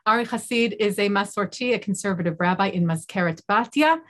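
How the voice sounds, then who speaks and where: A woman hosting a news interview program, speaking in a measured, formal way. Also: mid-range voice, fairly bright tone. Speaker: host.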